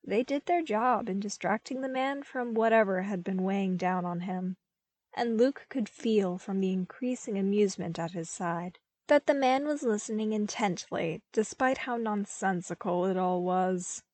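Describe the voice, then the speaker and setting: A woman's voice: mid-range voice, moderate pace, a little mellow, dry recording. Woman narrating a story, close dry sound. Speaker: podfic narrator.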